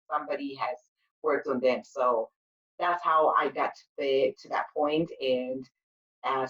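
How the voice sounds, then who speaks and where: Woman from zimbabwe telling her story, low voice, kinda far off and dull sounding. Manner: earnest, storytelling, frequent fillers and restarts. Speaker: guest, spa owner and sugar paste maker. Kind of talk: interview answers.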